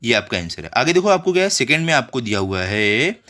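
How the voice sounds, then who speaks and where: A man's voice: mid-range voice, big pitch swings, a little fast, sharp tone. A man teaching children, walking through exercise questions step by step and often checking in with his pupils.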